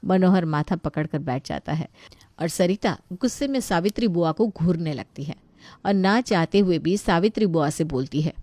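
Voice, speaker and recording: Low, mellow female storyteller. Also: expressive, normal speed, no echo. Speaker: story narrator. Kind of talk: scripted storytelling narration.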